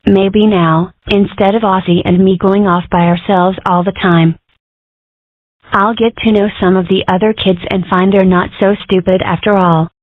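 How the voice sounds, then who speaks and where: A woman's voice: mid-range voice, steady tone, moderate pace, no echo, close to an everyday voice. A woman plays a mischievous young cartoon schoolgirl with big words and an indignant, theatrical streak. The sound is very dry and thin, squeezed like a phone line. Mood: indignant, wordy. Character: Millie, precocious, argumentative, mischievous young schoolgirl cartoon character. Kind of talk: comic strip dub. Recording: muffled lo-fi phone-like home recording.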